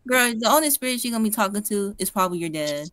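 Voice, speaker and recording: A woman's voice: medium pitch, lively intonation, fast talker, a bit bright, no room sound, clean studio sound, close to an everyday voice. A young woman playing a skeptical, teasing character in an improvised game roleplay, wry and slangy.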